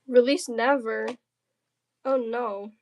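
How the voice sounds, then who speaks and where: A woman's voice: a little high, fairly even intonation, slow, darkish tone. A woman from the southern United States livestreaming casually, chatting and bantering with viewers in a playful, slangy, jokey way. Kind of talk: casual livestream chat while crafting.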